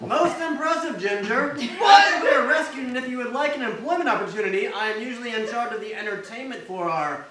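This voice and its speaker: A man playing a pompous, genteel, wealthy older gentleman in a live parody reading, speaking formally. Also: very high voice, animated, fairly quick, hardly any pauses, noisy background. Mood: pompous, approving. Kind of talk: live dramatic reading of parody fan fiction. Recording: echoey hall, distant, very loud audience.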